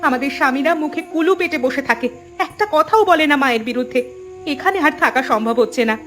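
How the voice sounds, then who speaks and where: A woman's voice: sits high, lively intonation, fast talker, a bit bright. A woman voicing a determined, fed-up village daughter-in-law in a cartoon, speaking in an earnest, resolute way. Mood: weary resolve. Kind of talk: cartoon voice acting.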